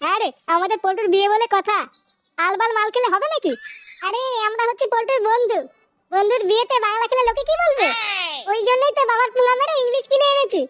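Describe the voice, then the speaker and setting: A performer voicing a brash, crude, excitable cartoon boy, in a shrill, pitched-up voice. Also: fast, a little hiss or hum. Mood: brash, excited. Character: foul-mouthed cartoon boy, loud, cheeky, excitable drinking pal. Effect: pitch-shifted up. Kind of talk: comic cartoon dubbing.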